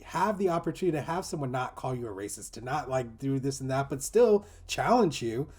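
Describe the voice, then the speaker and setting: A man's voice: medium pitch, expressive, brisk, darkish tone, husky. A man talking in a casual, reflective discussion, explaining earnestly and often dropping in "you know" and "like". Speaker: teacher in a discussion group.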